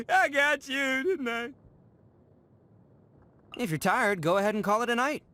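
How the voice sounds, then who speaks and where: An actor voicing a brash, boastful young oni gang delinquent in a video game, with an American accent and cocky, hammy, slangy bravado. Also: male voice, very high voice, measured pace, bright, forceful tone, dry recording, full character voice. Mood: teasing, amused. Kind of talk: animated video game character acting.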